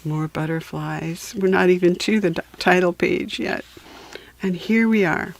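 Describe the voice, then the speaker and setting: A woman reading a children's storybook aloud for beginning readers, deliberate and patient, with chatty asides to listeners. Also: lowish voice, vocal fry. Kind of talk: slow read-aloud storybook narration for beginners.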